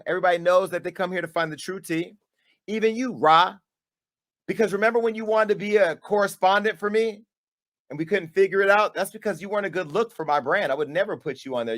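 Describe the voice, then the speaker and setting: A man hosting his own show, delivering a dramatic callout monologue with sass, swinging between hushed asides and loud, punchy bursts. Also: high voice. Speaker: media company owner and host.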